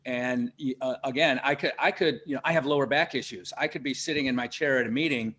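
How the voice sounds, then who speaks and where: A man hosts an interview in a relaxed, conversational way, speaking at a middle pitch. He often stops and restarts his sentences and tells stories from his own working life. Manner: halting, many restarts and fillers, tells personal anecdotes. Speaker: interview host, leadership teacher.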